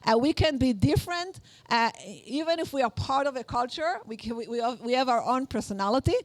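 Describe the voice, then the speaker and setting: A woman's voice: high-pitched, a little fast, no room sound. A woman from Israel with an Israeli accent, giving a live workshop lecture on negotiation in an earnest, explanatory way with many fillers and restarts.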